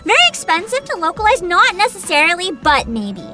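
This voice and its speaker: A woman hosting a livestream, chatting casually with viewers in an excitable, bubbly, giggly manner. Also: very high-pitched, a little fast, music in the background. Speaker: livestreamer host.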